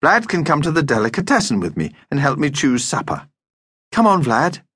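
A storybook mum, voiced by a man, in a raised, lively voice. She is patient and practical, coaxing but briskly firm. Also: a little high, husky, no echo, pitched above the performer's own voice, exaggerated voice.

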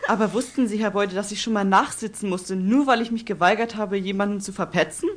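A woman's voice: medium pitch, fast talker, natural voice. A woman playing a wry, self-righteous schoolchild in a children's audiobook comedy, setting up jokes earnestly. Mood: mock-earnest.

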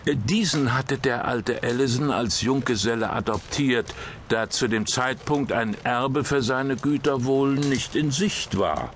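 A male actor playing a sober official who briefs a priest on a case in an audio drama, measured and slightly hesitant. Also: mid-range voice, a little hiss or hum, lightly acted. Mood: measured, explanatory. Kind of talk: German audio drama dialogue.

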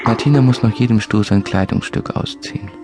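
An actor playing a dry, wry village narrator in a radio play, delivering short list-like phrases deadpan. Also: male voice, medium pitch, brisk. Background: loud music.

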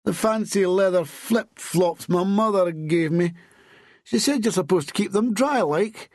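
A man's voice: sits high, normal speed, no echo, clean studio sound, voice pushed up. A man voicing a flustered, apologetic young detective constable with a pleading, whining manner. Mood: forlorn, whining. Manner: stammering, pleading.

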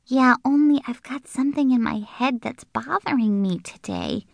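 A woman voicing a cheeky, chatty little schoolgirl in an audiobook, with a chirpy, drawn-out delivery. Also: sits high, big pitch swings, vocal fry, no echo, voice pushed up, full character voice. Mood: worried. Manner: sassy, chirpy, drawn-out words. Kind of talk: audiobook character reading.

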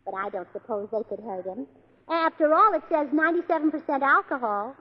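An actress playing a cheerful, scatterbrained young wife with a bubbly, illogical manner in a 1940s radio comedy. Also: female voice, high voice, fairly quick. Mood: chirpy. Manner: bubbly, chirpy, deadpan about her own nonsense. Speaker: comic lead. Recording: slightly dull old radio recording.

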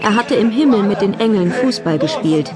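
A woman narrating a children's Christmas tale in a measured, wryly humorous storybook style. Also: mid-range voice, animated, close to an everyday voice. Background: music.

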